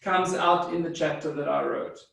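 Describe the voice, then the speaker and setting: German-speaking South African man, high-pitched and unhurried, recorded far from the mic in a very echoey room. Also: fairly even intonation, creaky, a bit bright. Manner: measured, thoughtful, with frequent fillers and restarts. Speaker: guest psychologist. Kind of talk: interview answers.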